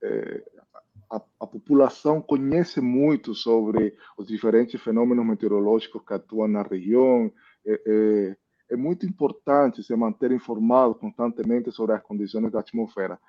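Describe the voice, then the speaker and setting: Cuban-accented man in his thirties, low and dark, muffled lo-fi audio, thoughtful interview guest. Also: steady tone, moderate pace. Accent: Cuban Spanish. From Cuba. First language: Spanish. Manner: thoughtful, many "né?" tags. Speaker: meteorologist guest. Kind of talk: casual interview.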